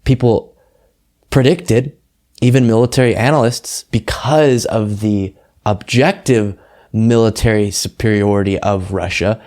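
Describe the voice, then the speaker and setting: American guy doing political commentary, lowish voice, conversational and earnest, kinda emphatic. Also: measured pace, a little mellow. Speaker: political commentary show host. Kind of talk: casual political commentary, reading translated quotes aloud.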